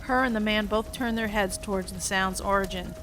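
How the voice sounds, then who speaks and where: A woman's voice: medium pitch, fairly even intonation, fairly quick, music in the background. A woman reading a scripted story aloud as its narrator. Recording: faint background noise.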